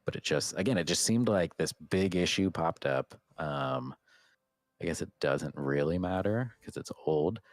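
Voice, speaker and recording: A man's voice: low voice, lively intonation, measured pace, no echo. A man co-hosting a podcast in casual, unscripted chat about what he watched, rambling and trailing off as he goes. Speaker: podcast co-host.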